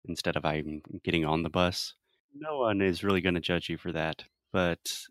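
An American man from South Carolina talks casually as an interviewer. His voice sits low, and the recording is dry and close with hardly any room in it. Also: normal speed, fairly bright tone, no echo. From South Carolina, United States. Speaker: interviewer and English pronunciation teacher.

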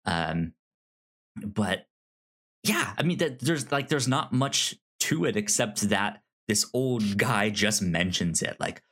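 A man co-hosting a casual film discussion podcast, speaking haltingly and often stammering over repeated syllables. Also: lowish voice, expressive, a bit bright. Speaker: podcast co-host.

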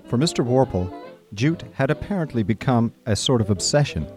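A man playing a chatty, idle young gentleman who narrates a comic radio drama in breezy, old-fashioned upper-class phrasing. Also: medium pitch, lively intonation, moderate pace, soft background music, natural voice. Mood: amused explaining.

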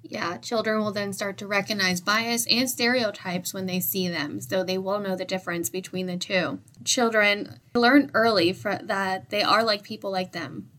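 A woman's voice: medium pitch, steady tone, moderate pace, fairly bright tone. A young woman co-presenting in a loose, conversational way, often using "like" as filler. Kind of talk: casual discussion.

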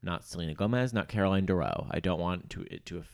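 A man's voice: deep voice, fast talker, creaky. A man co-hosting a podcast, chatting casually with a dry, wry comic manner. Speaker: podcast host. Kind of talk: casual comedic chat.

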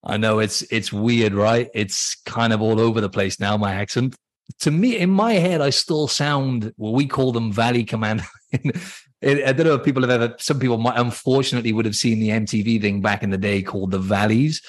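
A man's voice: medium pitch, brisk. A man from Wales with a mixed Welsh and Australian accent, a guest chatting casually and rambling a little with ums and restarts. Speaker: guest, fitness coach. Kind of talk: casual interview chat.

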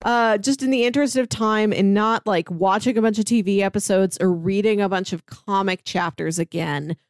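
A woman's voice: mid-range voice, steady tone, normal speed, dry recording. Casual woman co-host, dry close sound. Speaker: podcast co-host. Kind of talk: casual chat.

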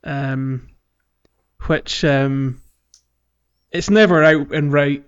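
A man's voice: fairly high pitch, steady tone, very slow, smooth. Man chatting on a slightly dull mic. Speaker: podcast host. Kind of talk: casual gaming podcast chat.

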